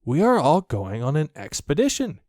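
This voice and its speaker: A man's voice: on the high side, huge pitch swings, normal speed, darkish tone, dry recording, clean studio sound, voice pushed up. A man voicing a confident young boy in a storybook, explaining things with careless, breezy authority. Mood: breezy, assured. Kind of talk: storybook character voice.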